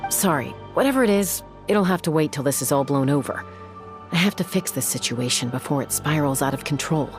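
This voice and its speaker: Low-voiced, blunt warrior flier. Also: female voice, expressive, brisk, over music. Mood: determined. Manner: blunt, decisive, impatient to act. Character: determined young woman flier and warrior. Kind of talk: video game voice acting.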